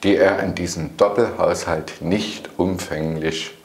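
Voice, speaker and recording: Slow, deliberate middle-aged man, low voice. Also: fairly even intonation. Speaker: politician presenting a party news show. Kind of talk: scripted political commentary to camera. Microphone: clip-on lapel mic. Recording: roomy, echoing studio sound.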